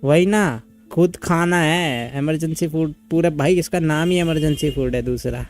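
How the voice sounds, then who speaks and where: Very fast-talking male game streamer. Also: on the high side, faint music behind. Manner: blunt, informal, joking. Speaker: game livestreamer. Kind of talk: casual live game commentary.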